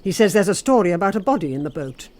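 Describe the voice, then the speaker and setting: An actress playing a stern, disapproving English housekeeper in a radio drama, formal, icy and measured. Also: female voice, lowish voice, big pitch swings, a little mellow. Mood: probing, suspicious.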